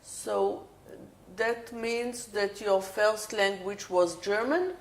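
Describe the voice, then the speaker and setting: Slow-spoken woman interviewer. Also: mid-range voice, fairly even intonation, rough recording. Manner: patient, probing questions. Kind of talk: oral history interview.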